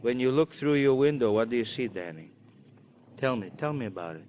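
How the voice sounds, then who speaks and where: An actor playing a somber, tired hospital doctor in a 1950s radio drama, grave and repeating himself. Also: male voice, mid-range voice, expressive, highs cut off, close to an everyday voice. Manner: grave, halting repetition. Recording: old radio recording, faint noise.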